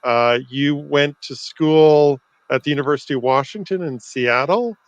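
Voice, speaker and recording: A man's voice: a little high, normal speed, no echo. A Canadian man hosts a casual, friendly interview. His voice is captured close and dry, with the slightly dull sound of a video call. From Vancouver, Canada. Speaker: co-host interviewing a guest.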